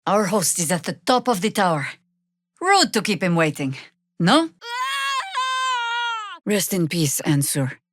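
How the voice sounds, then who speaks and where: An actress playing a seasoned, sardonic druid warrior woman in a fantasy video game, with a dry, measured, commanding delivery. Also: lowish voice, big pitch swings. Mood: wry, measured. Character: seasoned, sardonic female druid warrior, world-weary but resolute. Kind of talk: scripted fantasy game dialogue with shouted spell incantations.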